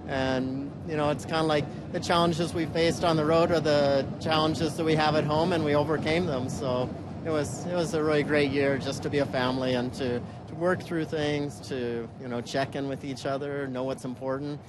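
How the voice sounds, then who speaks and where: A man's voice: a little high, fairly even intonation, moderate pace. Easygoing middle-aged man, interview guest, outdoor traffic noise. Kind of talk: casual interview answers.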